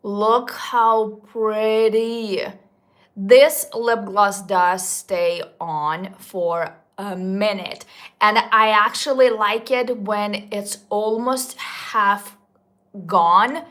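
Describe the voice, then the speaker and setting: A woman's voice: mid-range voice, steady tone, drawn-out words, fairly bright tone. A woman almost forty, chatting casually to her viewers as a beauty vlogger, gushing about products with little slips and restarts. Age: 30s. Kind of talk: casual makeup talk to viewers.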